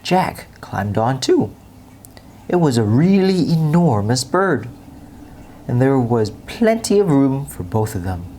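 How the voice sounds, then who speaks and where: A woman with a very deep voice reads a children's bedtime story. Her delivery is unhurried and expressive, swinging in pitch, with noticeable noise in the recording.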